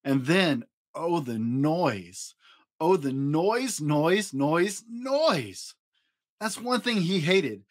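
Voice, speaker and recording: A man's voice: on the high side, animated, extremely slow. A man reads a children's story expressively, with dramatic, playful emphasis. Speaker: dad storyteller, host. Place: small indoor home studio room.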